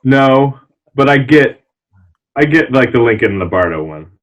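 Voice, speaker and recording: A man's voice: mid-range voice, sing-song, moderate pace. Slightly muffled, lo-fi sound. A man with a mid-range voice talks informally and reads bits aloud, in the manner of a librarian on a casual panel. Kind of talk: reading reviews aloud and casual chat.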